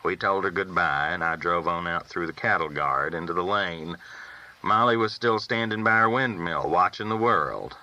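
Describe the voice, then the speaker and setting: A man's voice: low-pitched, moderate pace, pushed and bright, lo-fi sound. A man reading a first-person novel aloud as a folksy storyteller. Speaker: audiobook reader.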